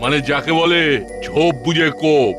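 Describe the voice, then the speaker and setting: A man's voice: fairly high pitch, moderate pace, close to an everyday voice. A man playing a scheming, menacing cartoon gang boss who cracks wise while making threats. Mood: scheming, boastful. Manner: menacing, wisecracking. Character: scheming male gang boss, kidnapper demanding ransom. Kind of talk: comic cartoon dialogue. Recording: loud music bed under the voice.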